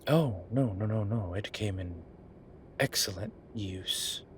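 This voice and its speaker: A man playing a polite, flirtatious incubus demon in an intimate roleplay audio drama, with hesitant, formal phrasing and a softness that turns breathy at times. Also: lowish voice, slow, a little hiss or hum. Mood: polite, playful. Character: courteous, flirtatious male incubus demon, restrained and apologetic.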